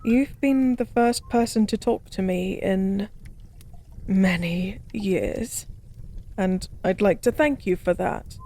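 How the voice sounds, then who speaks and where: A woman voicing a lonely, drunk fallen goddess in a soft-spoken audio roleplay, musing and rambling with weary melancholy. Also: medium pitch, slow. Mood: melancholic, resigned.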